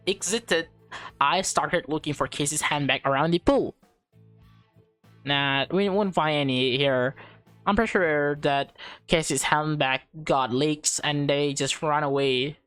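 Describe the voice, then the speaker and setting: A woman reading a visual novel aloud as a gaming host, expressive and playful, stumbling over lines and correcting herself. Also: deep voice, no room sound. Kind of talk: let's play read-aloud with off-script reactions.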